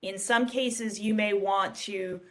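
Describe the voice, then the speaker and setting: A woman giving a casual software demonstration in a conversational, explanatory way. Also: mid-range voice, fairly even intonation, slow. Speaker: software demo presenter. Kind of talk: casual screen-share product demonstration.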